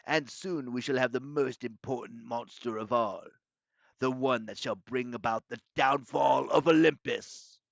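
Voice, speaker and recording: A man's voice: medium pitch, gravelly, dry recording, dull audio. A man voicing a menacing monster in disguise, pompous and condescending, in a storybook reading. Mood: gloating menace. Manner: pompous, condescending, deliberate villain delivery. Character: menacing, gloating male monster disguised as a schoolmaster.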